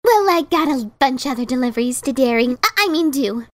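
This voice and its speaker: A woman plays a bubbly cartoon pegasus mail mare with a high, chirpy voice. She is earnest and sweet, with a nervous cheerfulness, as in a fan-made audio drama. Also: lively intonation, brisk, no room sound. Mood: awkwardly cheerful. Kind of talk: dramatic reading of fan fiction.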